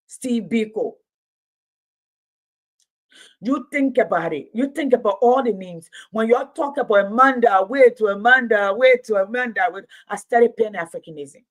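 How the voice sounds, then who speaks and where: A woman in her late fifties giving impassioned livestream commentary, preaching in repeated rhetorical build-ups. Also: medium pitch. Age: 50s. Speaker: commentator and Pan-Africanism teacher.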